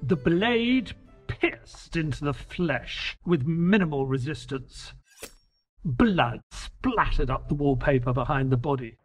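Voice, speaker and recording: A man acts a proper, slightly exasperated companion who also narrates an audio play. His voice sits on the high side and moves expressively, with slow, precise, polite phrasing. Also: animated, soft background music. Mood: measured, wry narrating. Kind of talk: audio drama acting and first-person narration.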